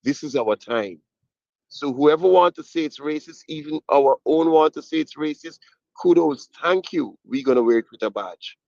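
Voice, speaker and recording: A man's voice: a little high, animated, moderate pace, gravelly, darkish tone, no room sound. A man calling in to a talk show to give his opinion, emphatic and repeating himself for weight. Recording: muffled, dull call audio.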